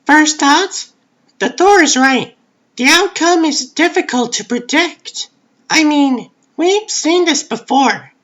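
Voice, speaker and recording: A clinical young female scientist from a comic book drama, voiced by a woman. She is high-pitched and takes her time, laying things out in a precise, matter-of-fact and confident way. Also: expressive, a bit bright, full character voice. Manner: matter-of-fact, measured, analytical. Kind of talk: comic book dub, scripted dialogue.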